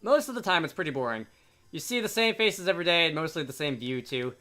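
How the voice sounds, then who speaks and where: A man voicing a plucky young sailor from a game in a hearty, animated way. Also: on the high side, fast talker. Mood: reminiscing. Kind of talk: reading game dialogue aloud. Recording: game music behind.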